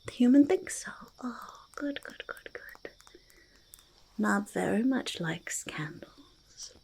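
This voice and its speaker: A woman voicing a quirky, treasure-loving goblin in a soft ASMR roleplay, slipping between soft speech and breathy whispers, repeating words in a quaint, halting way. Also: fairly high pitch, lively intonation, heavily acted. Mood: soft, absorbed. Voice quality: breathy half-whisper with creaky fry. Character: quirky, treasure-loving, mildly menacing forest goblin, speaking in third person.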